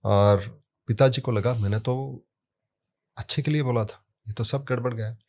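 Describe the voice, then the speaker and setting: A man explaining sound therapy in a relaxed podcast conversation, telling stories from his work and leaning hard on key words. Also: mid-range voice, measured pace. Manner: storytelling with anecdotes, emphatic rises on key words.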